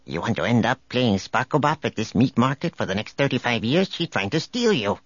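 Man as streetwise alien reporter, lively delivery. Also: medium pitch, moderate pace, no echo, muffled recording. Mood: hushed, urgent. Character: streetwise, wary male alien news reporter.

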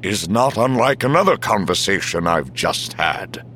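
A man voicing a wary, weary older military chief in an audiobook, with a formal, measured and emphatic delivery. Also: lowish voice, sing-song, a bit bright, slight background noise.

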